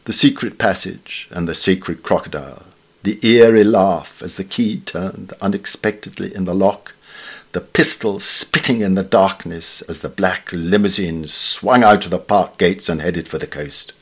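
A British man reading a scripted literary essay in a formal, measured, wryly understated way. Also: deep voice, slightly rough. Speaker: reader of newspaper essays.

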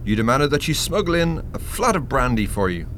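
Sardonic Irish spirit, lively pitch. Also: male voice, a little fast, slight background noise. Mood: reproving. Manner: dry, reproving. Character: stern, sardonic male spirit guide scolding the dead. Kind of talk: radio play acting.

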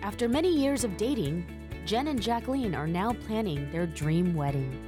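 A woman's voice: mid-range voice, lively intonation, normal speed, music in the background. A woman reading a scripted story narration in a storytelling tone.

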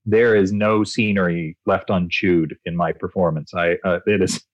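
A male voice actor being interviewed, telling expansive, animated anecdotes with frequent restarts. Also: low voice, moderate pace, dry recording, dull audio. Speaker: voice actor guest. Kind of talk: interview answers, storytelling.

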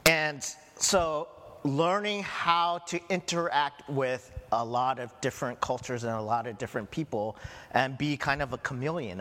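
A man's voice: medium pitch, fairly bright tone. A middle-aged American man preaching a sermon as pastor, talking conversationally with frequent "right?" asides. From United States. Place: church hall. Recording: long hall reverb.

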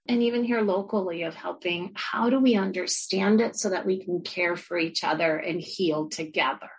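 A woman's voice: lowish voice, breathy edge, low-quality recording. A woman social worker and university educator with family from Argentina, answering interview questions earnestly and reflectively. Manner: earnest, reflective, with frequent fillers and restarts.

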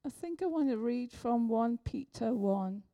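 An older woman delivers a sermon with a slightly hoarse voice from a cold, pouring out exclamations of praise. She speaks slowly, in a fairly high, mellow voice. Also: lively intonation. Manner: warm, effusive, exclamatory preaching. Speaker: preacher.

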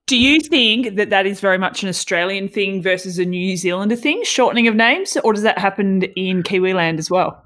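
A woman's voice: mid-range voice, fairly quick, hardly any pauses, a bit bright. A woman who commentates races, interviewing a guest in a chatty way with long, wandering questions. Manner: chatty, rambling questions with restarts. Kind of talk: casual interview.

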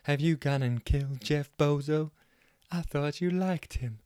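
A man voicing a soft-spoken, self-assured woman detective who talks quietly and knowingly. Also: fairly high pitch, measured pace, smooth, no echo, studio quality, pitched above the performer's own voice, natural voice. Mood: hushed. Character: hushed, self-assured female amateur detective.

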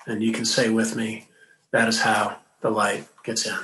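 A man giving a sermon to his congregation, speaking gently and conversationally. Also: fairly low pitch, monotone, moderate pace, a little hiss or hum, low-quality recording. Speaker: minister.

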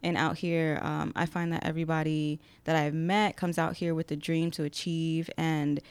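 A young woman from Connecticut, a podcast guest chatting casually, relaxed and laughing often. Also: deep voice, moderate pace, clear, resonant tone, no room sound. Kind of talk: casual interview chat.

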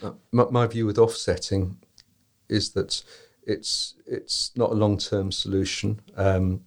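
Low gravelly guy answering interview questions slowly and carefully. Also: fairly even intonation. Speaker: tour company guest.

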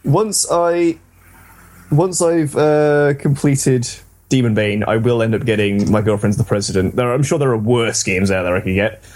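A man's voice: medium pitch, steady tone, moderate pace, darkish tone, slight background noise. A man co-hosting a casual games podcast, talking in a hesitant, rambling, self-deprecating way. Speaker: podcast co-host.

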